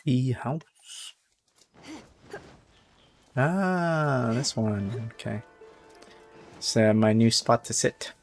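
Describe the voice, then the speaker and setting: A man's voice: medium pitch, lively intonation, very slow, vocal fry, long pauses. A man giving casual, offhand commentary as he streams a video game. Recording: faint game music behind.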